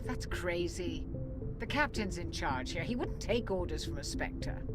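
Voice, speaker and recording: Sensible, measured military ship's doctor. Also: female voice, lowish voice, animated. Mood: reproving. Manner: measured, reproving, matter-of-fact. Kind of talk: video game dialogue acting. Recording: loud music behind the voice. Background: music.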